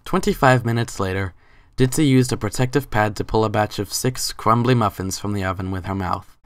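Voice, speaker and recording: A man delivers dramatic, scripted story narration. His voice sits low, and the sound is dry with no room around it. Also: brisk, no room sound.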